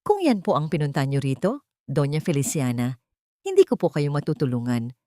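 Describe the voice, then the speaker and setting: A woman voicing a firm, dignified young mother in an audio story, speaking in a cold but polite, steady way. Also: low-pitched, brisk, no echo, studio quality.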